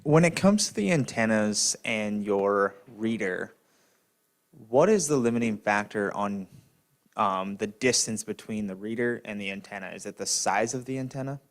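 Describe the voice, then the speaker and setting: A man's voice: lowish voice, lively intonation, moderate pace. A man in the audience asking the panel a technical question. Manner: inquiring. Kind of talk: question from the floor.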